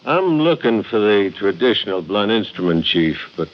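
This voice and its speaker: An animated, low-voiced sergeant sidekick. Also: male voice, moderate pace. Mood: puzzled, obliging. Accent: American. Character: deferential, plain-spoken male police sergeant sidekick. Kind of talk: old-time radio detective acting. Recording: dull, noisy vintage radio recording.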